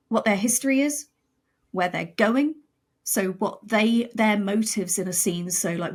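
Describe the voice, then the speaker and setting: Firm, articulate woman, emphatic guest. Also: mid-range voice. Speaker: podcast guest, audio drama writer. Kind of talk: casual conversational interview.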